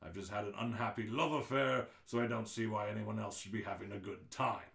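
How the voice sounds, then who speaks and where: A man's voice: on the low side, a little fast, vocal fry, fairly bright tone. A man playing a spiteful, officious alien ship captain making a curt, menacing announcement in an amateur radio play. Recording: roomy, echoing live-stream audio.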